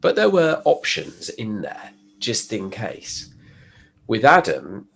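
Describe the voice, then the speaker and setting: A man with a stuffy cold, explaining his work in a relaxed podcast chat with fillers and restarts. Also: lowish voice, slow, vocal fry, breathy edge. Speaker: guest, pickup designer. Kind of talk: casual podcast conversation.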